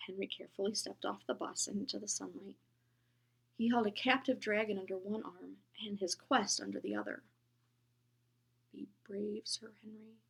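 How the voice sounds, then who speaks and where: A woman reading a children's book aloud, gentle and expressive, often dropping into a whisper. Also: mid-range voice, moderate pace, gravelly, husky. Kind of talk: storybook read-aloud.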